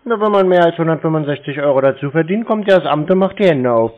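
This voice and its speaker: A man's voice: on the high side, expressive, fast. A man chatting casually on a call, blunt and nonchalant. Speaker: scam-baiter playing an uninterested prospect. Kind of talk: casual phone conversation. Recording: slightly dull, lo-fi call recording.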